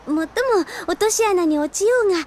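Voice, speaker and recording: A woman in a Japanese audio drama playing a polite young apprentice sorceress, prim and ladylike but quietly sharp-tongued. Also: very high voice, brisk. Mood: sweetly sarcastic.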